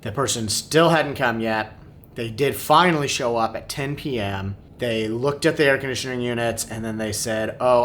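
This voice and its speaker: A man who vlogs family travel, giving an unscripted recap in a downbeat, regretful manner. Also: fairly bright tone. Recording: clear background noise, low rumble.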